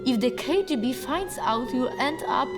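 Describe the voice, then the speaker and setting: A woman's voice: high-pitched, lively intonation, normal speed, long reverb tail, over music. An actress playing a frank, wary Soviet woman scientist in a video game. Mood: alarmed. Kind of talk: video game voice acting, cutscene dialogue.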